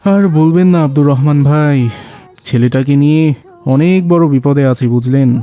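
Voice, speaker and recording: A man's voice: a little high, normal speed, warm tone, smooth, soft background music, lightly acted. A man playing a worried, plaintive wealthy father in an Islamic cartoon. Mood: worried, complaining. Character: worried, complaining wealthy father of a wayward son.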